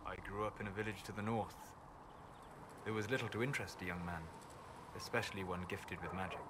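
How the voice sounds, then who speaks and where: An actor voicing a calm, reserved elven apostate mage in a video game, speaking in a measured, formal, thoughtful way. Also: male voice, on the low side, close to an everyday voice. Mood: reflective. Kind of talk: video game dialogue acting. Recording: clear ambient noise behind game dialogue.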